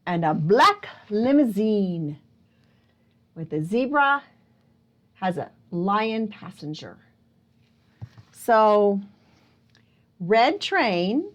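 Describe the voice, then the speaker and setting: A woman hosts a storytime for young children in a medium-pitched voice that swings widely up and down. She takes her time over the words, playfully asking questions and wondering aloud with exaggerated exclamations. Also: big pitch swings, very slow, pauses often. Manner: playful, prompting listeners with questions. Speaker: children's librarian and storytime host. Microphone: speaking close to a camera.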